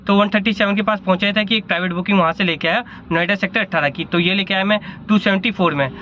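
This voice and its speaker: Fast-talking guy driving cab, slightly rough throat, high voice, street noise behind. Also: bright, forceful tone, dull audio. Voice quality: speaks of a sore throat. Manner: chatty, rambling with self-corrections. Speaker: ride-hailing driver vlogger. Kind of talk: casual vlog narration. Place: car and fuel station in a city.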